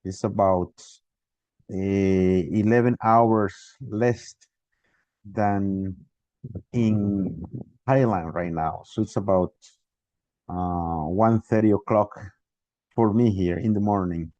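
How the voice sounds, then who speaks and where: A man's voice: sits low, slow pace, pauses often, dark tone, no room sound, lo-fi sound. Costa Rican man lecturing over a video call. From Costa Rica. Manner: hesitant, many restarts and fillers. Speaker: guest lecturer. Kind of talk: online academic lecture. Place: home, remote video call.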